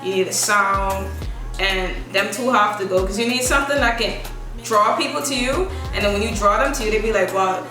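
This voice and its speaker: Soft-voiced young woman, casual interview guest, recorded off-mic in a reverberant room with music playing. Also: mid-range voice, fairly quick. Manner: soft-spoken, relaxed, loose rambling phrasing. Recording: echoey room from a distance, music behind.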